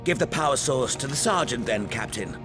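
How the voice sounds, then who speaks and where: A male actor as a haughty imperial inquisitor and scientist in a video game. His medium-pitched delivery is formal and guarded, rising and falling widely over loud music. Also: lively intonation, a little fast, close to an everyday voice. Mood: strained. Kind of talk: dramatic video game acting. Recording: loud music and engine noise behind.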